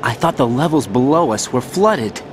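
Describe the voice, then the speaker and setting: An actor playing a questioning, earnest young American soldier in a video game, with an incredulous way of speaking. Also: male voice, medium pitch, expressive, moderate pace, background noise, natural voice. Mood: puzzled. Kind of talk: video game cutscene acting.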